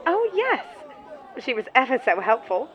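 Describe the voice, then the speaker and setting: A woman's voice: high-pitched, big pitch swings, normal speed. A woman voicing a breezy, overly polite, eccentric young woman heard through a tinny, crackling communicator. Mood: eager, polite. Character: chirpy eccentric young woman speaking through a mechanical messenger insect. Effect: tinny, crackling communicator filter. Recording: muffled tinny filter over crowd noise.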